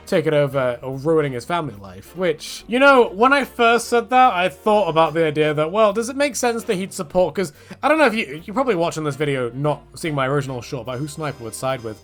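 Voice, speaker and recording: A man's voice: fairly high pitch, animated, quick pace, few pauses, fairly bright tone, soft background music. A man giving a casual, rambling, jokey rant about games, full of slang and acted-out quotes. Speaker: video creator, game commentator.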